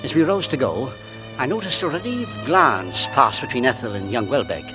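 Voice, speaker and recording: A man's voice: medium pitch, lively intonation, moderate pace, natural voice. An actor playing a precise, self-assured middle-aged gardener who narrates his own story in a 1940s radio drama, in an understated manner. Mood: brooding. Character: fussy, cold-blooded middle-aged male gardener. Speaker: narrator and lead. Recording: old radio recording with music bed.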